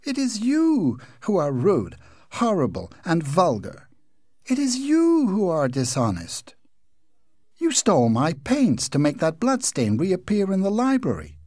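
A man's voice: medium pitch, wildly swooping pitch, unhurried, a little mellow, husky, no room sound, clean studio sound, heavily acted. A man voicing a prim, indignant young American girl who scolds sharply.

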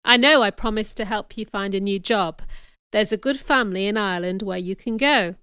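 A woman voicing a commanding, ardent gentleman in a storybook, with an earnest, emphatic delivery. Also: mid-range voice, fairly quick, clear, resonant tone, no echo, clean studio sound, natural voice. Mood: calm, composed. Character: Mister Rochester, commanding, ardent gentleman in love.

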